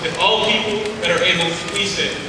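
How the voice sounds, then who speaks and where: A man's voice: high-pitched, fairly even intonation, fairly quick, noisy background. A young man from South Carolina giving an emphatic, rhythmic oratorical speech and leading the audience in call and response. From South Carolina, USA. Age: young adult. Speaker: student speaker. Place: large auditorium. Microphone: far camera in the audience. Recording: echoey hall from far off.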